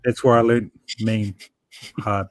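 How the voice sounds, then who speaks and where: Playful Kiwi co-host, dry mic. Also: male voice, on the low side, level tone, slow, no room sound. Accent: New Zealand. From New Zealand. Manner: playful, laughs easily, slangy.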